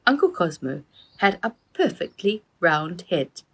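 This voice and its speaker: A woman reading a children's story aloud as its author, with an expressive, animated storytelling delivery and a husky voice. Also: lowish voice, a little slow. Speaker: author reading own book. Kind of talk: expressive children's audiobook narration.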